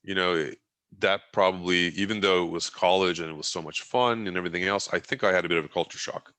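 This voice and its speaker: Deep, bright-voiced man telling stories. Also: fairly even intonation, fast. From Turkey. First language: Turkish. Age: 40s. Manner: rambling storytelling with many restarts and fillers. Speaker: guest. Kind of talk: casual interview talk.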